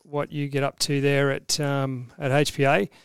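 A man's voice: medium pitch, completely flat delivery, normal speed, smooth, no echo. A man hosting a podcast interview, prompting guests with friendly questions. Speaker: podcast host.

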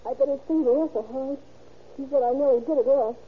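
A woman playing a frightened, earnest thirteen-year-old girl in a classic radio drama, polite and halting as she speaks. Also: high voice, steady tone, slightly rough. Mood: earnest, eager. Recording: tinny, noisy old radio recording.

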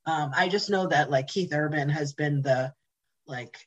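A woman guest in a casual interview, telling candid, animated stories, swearing casually and laughing often. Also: deep voice, measured pace, husky. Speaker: interview guest, blues jam host.

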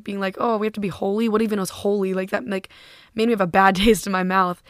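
Casting note: young woman podcast host, hoarse from a lost voice, bubbly chatter, close and dry. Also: mid-range voice, a bit bright, dry recording. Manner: bubbly, chatty, many fillers and "like". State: hoarse, voice half gone. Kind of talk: casual faith podcast talk.

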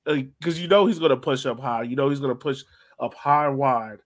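A man's voice: mid-range voice, brisk. A man with a mid-range voice talks as a podcast host about sport. His delivery is casual and conversational, like someone steering a discussion among fellow fans. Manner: conversational, poses questions to co-hosts. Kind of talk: casual soccer podcast chat.

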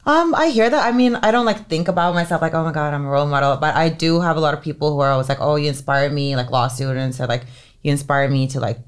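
Chatty woman from Finland, full of fillers. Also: sits low. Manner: chatty, heavy use of "like" and "um" fillers. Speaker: entertainment lawyer, podcast guest. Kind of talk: casual interview chat.

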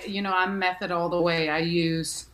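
A woman from Denmark speaks in a somewhat low voice, answering an interviewer frankly. She restarts often and leans on "you know" as she talks. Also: level tone, moderate pace, fairly bright tone. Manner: candid, many restarts and "you know" fillers. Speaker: actress and musician guest.

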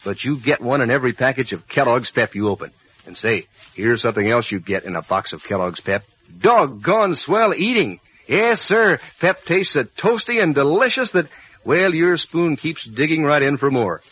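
A man's voice: lively intonation, normal speed, fairly bright tone, slightly rough, no room sound. An American man announcing in a folksy, enthusiastic 1940s radio advertising style. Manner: folksy, enthusiastic sales pitch. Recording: muffled old radio recording.

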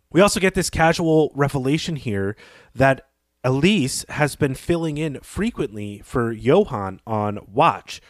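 A man's voice: medium pitch, no room sound. Reflective male podcaster, dry studio-like sound. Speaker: podcast co-host. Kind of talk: conversational literary story analysis.

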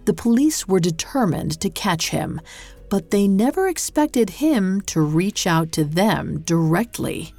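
A woman hosting a podcast, reading scripted true-crime narration in a measured, dramatic storytelling manner. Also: medium pitch, expressive, warm tone. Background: music.

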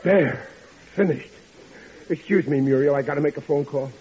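An actor playing a panicky, bumbling working-class husband who stammers and blusters in a vintage radio comedy. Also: male voice, on the high side, normal speed, natural voice. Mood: blustering. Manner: flustered, blustery, uneducated colloquial speech. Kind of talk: 1940s radio comedy acting. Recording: dull, noisy old radio recording.

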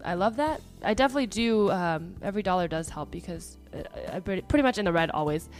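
A woman hosting a podcast in a casual, chatty way, her voice hoarse and partly lost after travel, with many fillers. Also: on the low side, lively intonation, quick pace, faint music behind. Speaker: podcast host.

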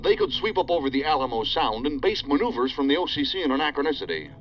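An actor playing a dutiful, guarded task force leader in a sci-fi audio drama, formal and deferential, heard through a radio-like call filter. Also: male voice, fairly high pitch, big pitch swings, quick pace. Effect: radio or phone line filter. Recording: dull band-limited call line, music underneath.

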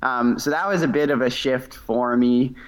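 A man's voice: medium pitch, steady tone, moderate pace, a little hiss or hum, poor audio. A young man from Massachusetts, a guest in a casual interview over a remote call, talking in a hesitant way with many fillers and restarts. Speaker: guest, ultra runner.

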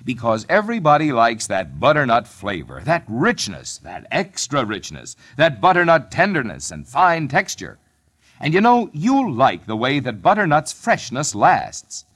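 A man's voice: medium pitch, huge pitch swings, unhurried, a bit bright. An American man reading a vintage radio commercial as announcer, with folksy, persuasive sales patter. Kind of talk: vintage radio bread advertisement.

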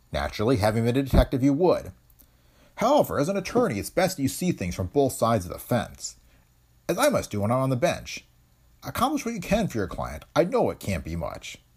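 A man reads a stern older judge in a formal, measured tone, pitched well above the reader's own low voice. The delivery swings in pitch, and the voice has a husky edge.

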